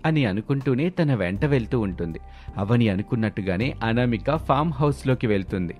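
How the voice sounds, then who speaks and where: A man's voice: mid-range voice, expressive, brisk, a little mellow, faint music behind. A man narrating a scripted story as its narrator.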